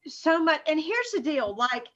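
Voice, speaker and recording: A woman's voice: high voice, very animated, a little slow, a bit bright, highs cut off. A woman with a Southern American accent, a stand-up comedian talking bluntly and profanely in a casual interview, often laughing at herself.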